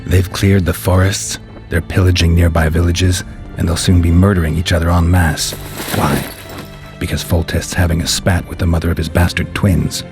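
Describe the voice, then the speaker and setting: An actor playing a weary, sardonic warrior in a video game, with a gravelly voice and a dry, laconic manner. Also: male voice, low-pitched, level tone, moderate pace, over music, natural voice. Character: weary, sardonic monster-hunting warrior, gruff adult man. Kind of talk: video game cutscene acting.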